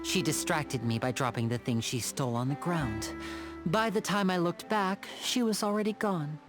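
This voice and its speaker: A woman playing a confident, playful young male stage magician in a video game, with a smooth, teasing, showmanlike manner. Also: low voice, normal speed, natural voice. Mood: reassuring. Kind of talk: video game character acting. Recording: background music under the voice.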